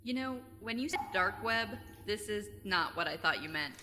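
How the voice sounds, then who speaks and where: A woman's voice: medium pitch, expressive, normal speed, pushed and bright, long reverb tail, slight background noise. A woman playing a sarcastic, exasperated teenage girl, dry and sceptical, in an audio drama. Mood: grumpy, dry. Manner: dry, sardonic, nagging.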